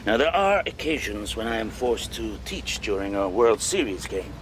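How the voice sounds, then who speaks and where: Animated, donnish professor. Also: male voice, on the low side, slight background noise. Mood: wry, confiding. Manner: theatrical, deliberate, wry storytelling. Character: wry, donnish male history professor, older mentor. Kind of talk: radio drama acting.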